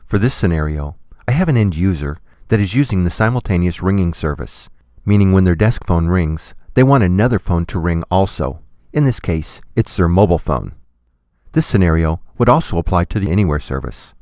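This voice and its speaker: A man giving scripted, step-by-step software tutorial narration in a methodical, instructional manner. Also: sits low, animated, fairly quick, no echo, studio quality.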